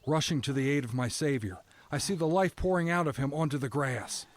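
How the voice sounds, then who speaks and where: A man playing a stoic, resigned prisoner who narrates an audio drama in a somber, deliberate, poetic storytelling manner. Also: medium pitch, close to an everyday voice. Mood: grave, urgent. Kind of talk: scripted first-person dramatic narration.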